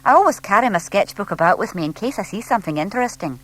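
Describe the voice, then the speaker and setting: Woman playing a young lady, friendly, patient, animated melody, explaining to a little one, storybook. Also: medium pitch, fast, pitched above the performer's own voice. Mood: eager. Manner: animated, explaining gently to a small child. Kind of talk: children's audiobook character voice.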